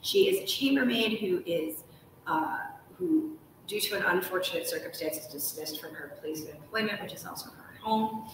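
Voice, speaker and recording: A woman's voice: mid-range voice, animated, normal speed. A woman in her thirties hosting a live interview chat, talking in an enthusiastic, emphatic way with many casual "likes". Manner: enthusiastic, emphatic, uses "like" often. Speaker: host, interviewer. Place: large hotel room, indoors. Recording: echoey room, distant mic, faint noise.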